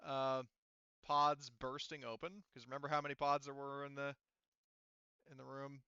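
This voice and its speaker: Man, game master, bright crisp voice, casual talk, swears freely, dry slightly dull recording. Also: mid-range voice, level tone, normal speed, dry recording.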